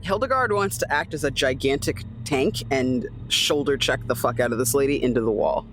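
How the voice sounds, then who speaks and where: A man's voice: medium pitch, lively intonation, moderate pace, a bit bright, slight background noise. A man playing a tabletop roleplaying game, casually stating what his character does.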